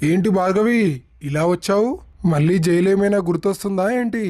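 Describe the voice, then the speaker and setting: A man's voice: high-pitched, fairly quick, darkish tone, no echo, clean studio sound, lightly acted. A man voicing a stern, taunting police officer in a melodramatic cartoon moral story, with a mocking, authoritative manner.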